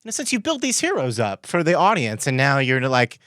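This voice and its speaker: A man's voice: mid-range voice, wildly swooping pitch, a little fast, a bit bright, dry recording, clean studio sound. A man hosting a podcast, chatting casually with his guests in an interview style. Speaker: podcast host.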